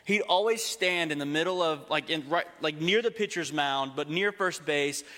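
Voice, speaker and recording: A young adult man from Texas giving a casual, humorous sermon to a congregation, slowing down to read scripture. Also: on the high side, sharp tone. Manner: conversational, humorous, slows down when reading scripture. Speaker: preacher. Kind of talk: sermon with storytelling. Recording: long hall reverb.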